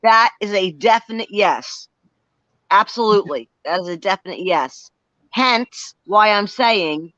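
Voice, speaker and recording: A woman's voice: fairly high pitch, fairly bright tone, dry recording. A woman in her sixties, co-hosting a live paranormal stream, talking emphatically to the audience. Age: 60s. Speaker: co-host. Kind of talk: live-stream ghost hunt chat. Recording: dry, dull lo-fi stream audio.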